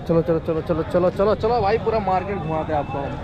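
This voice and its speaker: A high-pitched man talks into a handheld phone while strolling an outdoor street market. He keeps the tone upbeat and pushes viewers along between stalls. A dense wall of shoppers and traffic fills every gap in his speech. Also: fast, highs cut off, over a noisy crowd. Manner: upbeat, urging viewers along, haggling with sellers. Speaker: vlogger touring a street market. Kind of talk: casual walking vlog commentary. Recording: loud crowded street market.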